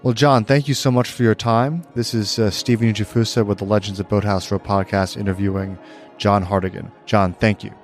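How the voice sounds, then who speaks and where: A man hosting a podcast, asking measured, courteous interview questions. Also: fairly low pitch, steady tone, soft background music. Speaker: podcast host.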